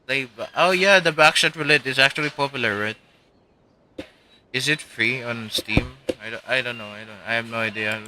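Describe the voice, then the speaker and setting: A man's voice: mid-range voice, normal speed, pushed and bright, slight background noise. A man chatting casually with friends while live streaming a video game, slangy and joking.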